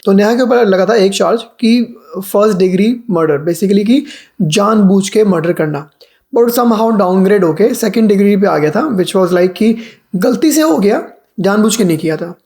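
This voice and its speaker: An Indian man with a mellow, relaxed voice presents as a channel host. He delivers casual news commentary, switching back and forth between languages as he goes. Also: high voice, moderate pace. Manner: code-switches between Hindi and English.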